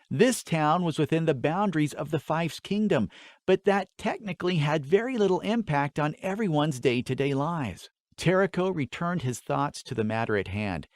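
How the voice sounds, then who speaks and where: A man's voice: a little high, no room sound, studio quality. A man reading a scripted fantasy story as an audiobook narrator, with an earnest, dramatic delivery.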